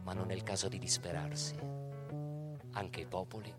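A man giving a theatrical literary recitation for radio theatre, declaiming with dramatic emphasis and pauses. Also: low voice, steady tone, loud music behind the voice.

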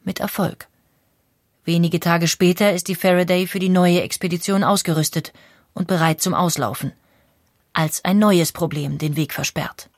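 Woman narrator, scripted documentary, lowish voice, dry studio sound. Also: steady tone, moderate pace, no room sound.

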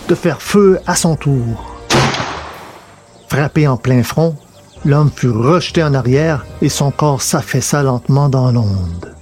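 A man reading a scripted science fiction adventure as narrator. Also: mid-range voice.

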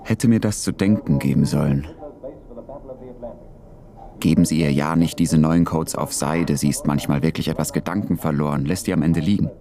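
An actor playing a dry, brooding wartime spymaster in a German radio drama, musing to himself. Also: male voice, rumbling low, moderate pace, mellow.

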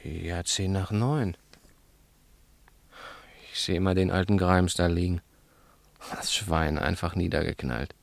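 An actor playing an agitated, decisive milk bar owner who witnessed a crime, in an emphatic, colloquial German radio drama manner. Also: male voice, deep voice, takes it slowly, vocal fry, long pauses, dry recording, full character voice. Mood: weary, brooding.

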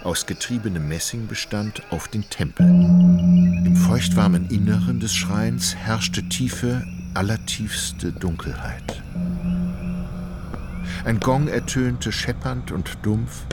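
A man narrating a literary radio play in a measured, deliberate storytelling manner. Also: lowish voice, loud music behind the voice.

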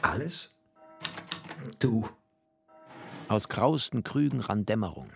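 A man's voice: fairly low pitch, big pitch swings, slow pace, vocal fry, soft background music, heavily acted. An actor playing a bookish, wry, tender poor writer who narrates his life in clipped, poetic phrases in a radio play. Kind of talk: literary first-person radio play narration.

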